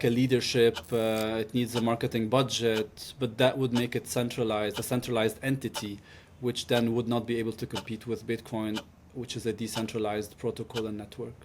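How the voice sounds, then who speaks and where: A man from Lebanon giving casual interview answers, pausing often as he finds his words. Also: medium pitch, a little mellow, slight background noise. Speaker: interview guest.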